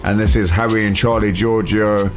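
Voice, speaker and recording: A British man with a fairly low voice hosts a casual radio interview. His talk is informal and slangy, with faint music running underneath. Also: steady tone, normal speed. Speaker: radio show host.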